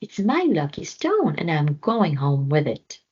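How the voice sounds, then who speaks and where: A woman voicing a brave, stubborn young boy in a storybook, in a high childlike manner. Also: very animated, measured pace, a little mellow, husky, dull audio, exaggerated voice. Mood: insistent. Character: small brave young male jungle hero, stubborn.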